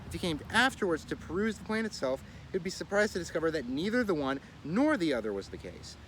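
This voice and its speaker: A man reads a historical essay aloud with a lively, rising-and-falling delivery, his voice sitting a little high for a man. He keeps a measured, oratorical pace, now and then tripping over a word and correcting himself. Clear traffic and street noise runs behind him outdoors. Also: poor audio. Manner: measured oratorical reading with occasional stumbles and self-corrections. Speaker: reader of a daily series.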